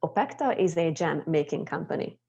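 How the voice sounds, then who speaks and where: A woman's voice: low-pitched, animated, fairly quick, creaky, a little mellow, slightly rough, dull audio. A young adult Hungarian woman with a Hungarian accent, presenting an informal educational tour as a guide on a video call.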